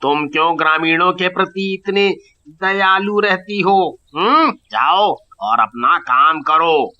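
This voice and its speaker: Man voicing greedy village merchant, gruff, very tense bright tone, unhurried, animated moral story, muffled lo-fi sound. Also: fairly high pitch, a little hiss or hum, full character voice. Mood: dismissive, stern. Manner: gruff, dismissive, drawn-out exclamations. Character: greedy, callous male village water merchant.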